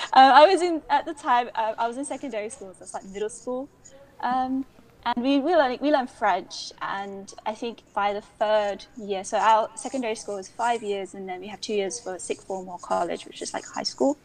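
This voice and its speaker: A woman's voice: fairly high pitch, moderate pace, low-quality recording. A young woman from London with a London accent, a podcast guest telling her story in casual conversation, with many ums and restarts. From England. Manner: chatty, frequent ums and self-corrections.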